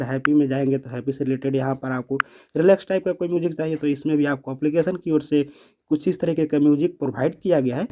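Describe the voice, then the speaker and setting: A man's voice: on the high side, a little mellow, a little hiss or hum. A man presenting a step-by-step app tutorial, explaining and repeating each step.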